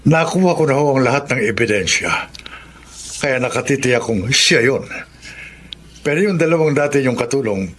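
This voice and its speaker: An actor playing an earnest, discreet lawyer in a Filipino radio drama, speaking in a measured and polite way. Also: male voice, mid-range voice, lively intonation, fairly bright tone, slight background noise, natural voice. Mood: respectful, reassuring. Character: earnest, discreet male attorney, loyal and respectful.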